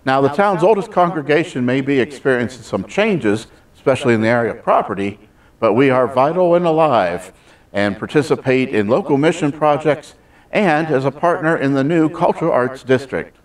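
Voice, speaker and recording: A man's voice: medium pitch, animated, moderate pace. A man hosting a church Christmas program, introducing it in a folksy, theatrical announcer's style.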